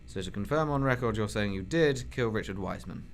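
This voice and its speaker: A man's voice: fairly low pitch, lively intonation, fairly quick, a little hiss or hum. A man playing a blunt, weary detective. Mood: pressing. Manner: blunt, pressing, sardonic. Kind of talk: amateur radio drama acting.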